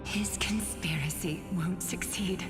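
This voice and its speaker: A woman playing a dignified, resolute noblewoman leader in a video game, composed, solemn and measured. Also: on the low side, roomy sound, long reverb tail, music in the background. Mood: solemn resolve. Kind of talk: scripted video game acting.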